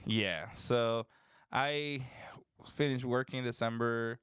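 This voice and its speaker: Young man, smooth resonant voice, dry close sound, rambling casual chat. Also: mid-range voice, slow, dry recording. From Dominican Republic. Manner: relaxed, rambling, says "bro". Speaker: podcast host.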